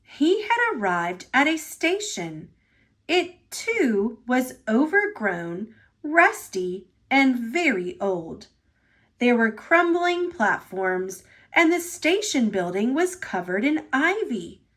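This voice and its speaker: A woman reading a children's storybook aloud as narrator, with expressive delivery. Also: high voice, slow. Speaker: storybook reader.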